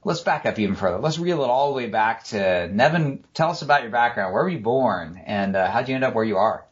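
A man hosting a podcast, speaking in a friendly, relaxed way with frequent fillers. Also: mid-range voice, fairly quick, breathy edge. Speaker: podcast host. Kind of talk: casual podcast interview. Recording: dull lo-fi podcast audio.

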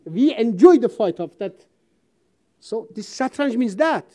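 A woman from Iran, giving a seminar speech in an auditorium in halting English, speaking emphatically and reciting verse. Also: fairly high pitch, animated, dark tone. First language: Persian.

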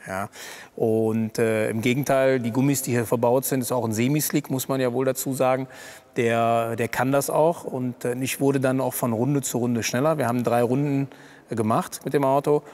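Racing driver, man in his thirties, mid-pitch, easygoing explainer, garage reverb. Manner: relaxed, explanatory, many fillers. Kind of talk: seated interview. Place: garage by a race track, indoors.

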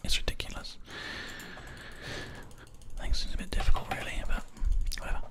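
A man whispers soft, unhurried, soothing ASMR commentary over his gameplay, breathy and close up on a binaural microphone. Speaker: host, gamer. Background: keyboard and mouse clicking.

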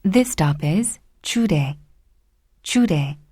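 Slow, mellow woman station announcer. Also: lowish voice, lively intonation, dry recording, studio quality. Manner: slow, clear, formal. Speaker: subway announcer.

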